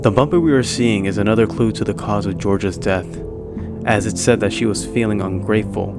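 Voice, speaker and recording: A man's voice: on the low side, brisk. A man hosting an explainer, talking through a horror series in a commentary style. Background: prominent music.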